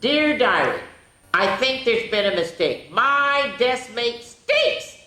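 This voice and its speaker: A woman's voice: on the high side, very animated, slow, bright, forceful tone, voice pushed up, heavily acted. A woman voicing a worried, grumbling young schoolboy in a storybook read-aloud. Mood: anxious, glum. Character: young schoolboy diarist, worried and grumbling among animal classmates. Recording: roomy echo.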